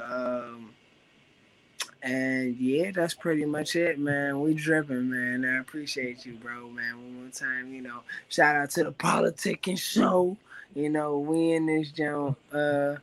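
A young man from the D.C. area with a raspy voice, speaking at an ordinary mid pitch. He is a rapper chatting casually in an interview, laid-back and loose, leaning on filler phrases all the time. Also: steady tone, takes it slowly, fairly bright tone, poor audio. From Washington, D.C. area. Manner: laid-back, constant "you know what I'm saying" fillers.

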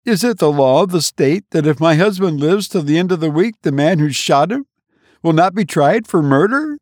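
A frantic middle-aged wife whose raised voice swings wildly between pleading and fury, as a male narrator puts her on. She sounds high and strained, and the reading is dry and close, with no room around it. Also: lively intonation, moderate pace, no echo, natural voice. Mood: desperate. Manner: pleading, shrill outbursts. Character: distraught middle-aged wife, vengeful and desperate. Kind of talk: audiobook character voice.

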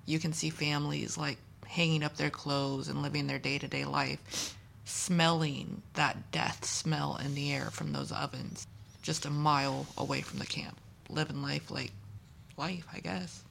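Woman cohost, super gravelly fry in her voice, faint noise behind. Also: low voice, little pitch movement, unhurried, a bit bright, rough recording. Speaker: co-host, host's wife. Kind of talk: casual chat.

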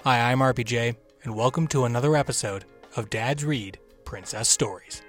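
A man with a mid-pitched voice hosts a story podcast. He delivers a scripted introduction while music plays behind him. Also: normal speed, fairly bright tone. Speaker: podcast host.

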